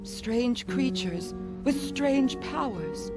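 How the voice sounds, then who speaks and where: A bewildered haughty imperial lady in a fantasy game, talks slow, music behind her. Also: on the high side, expressive, full character voice. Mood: remote, mysterious. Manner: imperious, formal. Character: haughty, bewildered imperial noblewoman from an ancient age. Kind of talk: fantasy video game acting.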